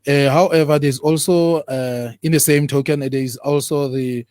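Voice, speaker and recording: A man, an imam, answering interview questions in a studio. He speaks haltingly, with frequent fillers. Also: a little high, steady tone, darkish tone, no room sound. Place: broadcast studio.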